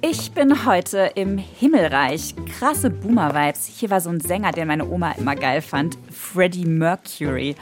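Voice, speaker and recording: An actress playing a brash, entitled young livestream influencer, slangy, affected and pushy, in a radio play. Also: female voice, lowish voice, expressive, a little slow, hardly any pauses, music in the background. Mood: showy, self-absorbed. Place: radio drama studio.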